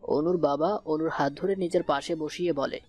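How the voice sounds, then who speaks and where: A woman's voice: sits low, moderate pace, a little mellow, breathy edge. A woman narrating a scripted romantic audio story. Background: faint background music.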